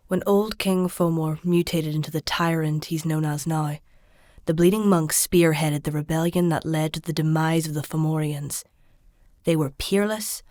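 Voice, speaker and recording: An actress playing a solemn chronicler, narrating a dark fantasy audio drama in a grave, deliberate storytelling manner. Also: female voice, sits low, no room sound, studio quality.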